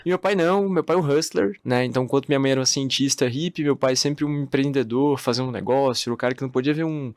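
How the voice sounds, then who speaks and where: A young man from the Northeast of Brazil, a podcast guest telling his life story in relaxed conversation and laughing often. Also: mid-range voice, fast, few pauses, no echo, studio quality. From Natal, Northeast Brazil.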